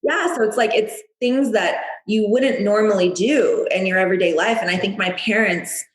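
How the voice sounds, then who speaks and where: A woman's voice: medium pitch, moderate pace, echoey room, a little hiss or hum, poor audio. A woman in her thirties from the United States, a podcast guest who chats in a bubbly way and laughs often. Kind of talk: casual interview.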